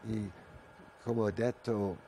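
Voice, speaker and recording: Slow, deep-voiced older man, Italian accent. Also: steady tone, warm tone, a little hiss or hum. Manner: halting, searching for words, repeats phrases. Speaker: guest, Watsu originator. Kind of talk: radio interview answers.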